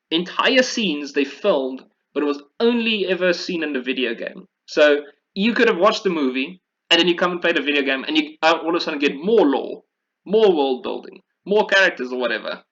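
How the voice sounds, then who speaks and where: A man's voice: fairly high pitch, lively intonation, normal speed, fairly bright tone, lo-fi sound. A man chatting casually and enthusiastically about video games in an informal way, as a podcast host.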